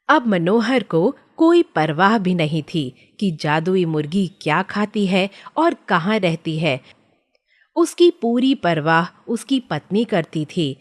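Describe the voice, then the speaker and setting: A woman narrating a scripted children's story as the storyteller. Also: mid-range voice.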